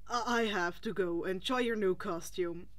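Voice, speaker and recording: A woman voicing a tired, unimpressed male hero teacher with a flat, dismissive manner in a fan fiction reading. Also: mid-range voice, normal speed, pitched below the performer's own voice, natural voice. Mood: weary, dismissive.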